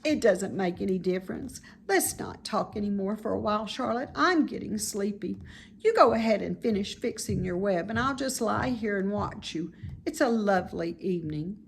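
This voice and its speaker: A woman's voice: medium pitch, lively intonation, normal speed, vocal fry, a little hiss or hum. A woman voicing a naive, sensitive young male pig in a children's storybook. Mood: sleepy, content. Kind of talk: children's storybook read-aloud.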